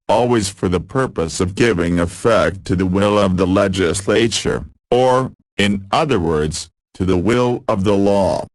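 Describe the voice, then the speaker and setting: A man reading quotations as scripted narration, in a measured and formal delivery. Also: deep voice, dry recording.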